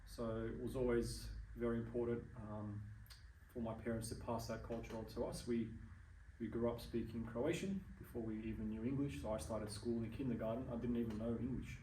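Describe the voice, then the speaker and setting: A man's voice: mid-range voice, fairly even intonation, brisk, dark tone, reverberant, recorded from a distance, slight background noise, low-quality recording. A young adult Australian man in an interview, speaking earnestly and measuredly, with frequent ums and restarts. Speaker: interview guest. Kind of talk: casual interview chat.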